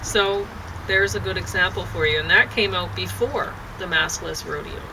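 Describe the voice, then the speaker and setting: A woman's voice: fairly low pitch, normal speed. A woman chatting casually outdoors, in a hesitant, rambling way that often repeats itself. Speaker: poet and vlogger. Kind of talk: casual chat. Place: outdoors on a deck near downtown. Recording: clear outdoor urban and traffic noise.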